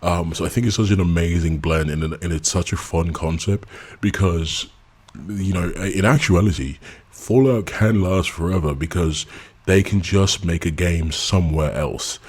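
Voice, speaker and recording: Husky low-voiced man. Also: moderate pace. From England. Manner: candid and blunt, laughs often. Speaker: YouTube channel host. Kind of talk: casual subscriber Q&A.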